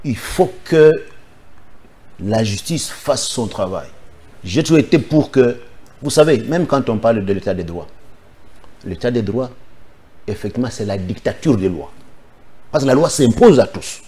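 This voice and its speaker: A man's voice: medium pitch, animated, normal speed, pauses often, warm tone. A man from the Democratic Republic of the Congo, a political guest giving firm, insistent answers in a studio interview.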